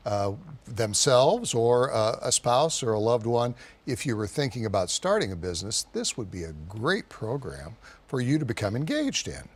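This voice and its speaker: A man's voice: medium pitch, sing-song, moderate pace. Male interview host for a college programme, mid-range voice, conversational delivery.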